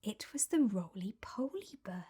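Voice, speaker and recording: A woman reading a children's story aloud in expressive, dramatic narration. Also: medium pitch, measured pace, slightly rough.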